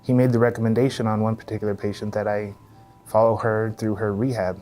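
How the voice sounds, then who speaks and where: A man's voice: lowish voice, level tone, quick pace, warm tone, soft background music. A middle-aged man from Texas with an American accent, giving casual interview answers as a physical therapist. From El Paso, Texas, USA.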